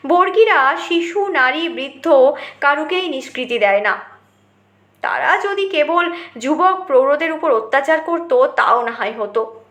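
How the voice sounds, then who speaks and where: A woman narrating a scripted story in an expressive, dramatized way. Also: sits high. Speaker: audiobook narrator. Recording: roomy, echoing voice recording.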